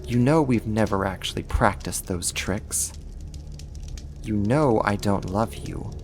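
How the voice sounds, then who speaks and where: A voice actor playing a sadistic, haughty half-spider monster in an ASMR horror roleplay, talking slowly and condescendingly in a theatrical way. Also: medium pitch, a little hiss or hum. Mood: irritated, dismissive.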